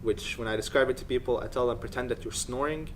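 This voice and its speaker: A student from Egypt answering interview questions, explaining at length with many pauses and um fillers. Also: male voice, mid-range voice, slight background noise.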